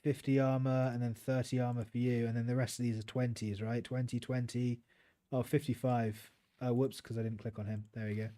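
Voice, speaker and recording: A British man chats easily through a relaxed game stream, mid-pitched and unhurried. He mulls over choices aloud and turns now and then to answer his viewers. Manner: easygoing, chats with viewers while playing. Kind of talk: relaxed gameplay livestream commentary.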